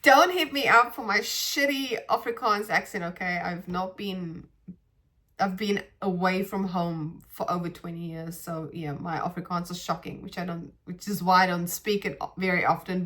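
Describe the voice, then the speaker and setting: A woman's voice: on the low side, a bit bright. A woman hosting a reflective spiritual podcast, who talks in a meandering way, searching for words and often restarting her sentences. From South Africa. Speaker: podcast host.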